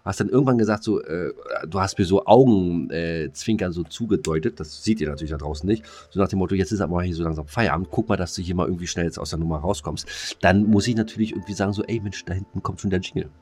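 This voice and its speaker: A man's voice: low voice, fast talker, few pauses, darkish tone. A man co-hosting a podcast, chatting casually with slangy, mock-scolding banter. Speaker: podcast co-host. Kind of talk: casual chat between two friends.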